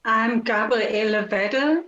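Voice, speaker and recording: A woman speaks very slowly and haltingly, her phrasing simple. Her voice comes through dull call audio with faint background noise. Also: fairly high pitch. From Germany. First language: German. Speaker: participant. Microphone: video call.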